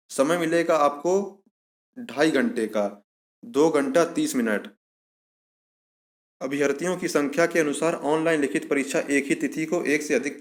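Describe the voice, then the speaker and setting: A man giving an instructional walkthrough of an official notice, repeating key points for emphasis. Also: on the high side. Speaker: exam information channel host. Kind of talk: explanatory walkthrough of a recruitment notice.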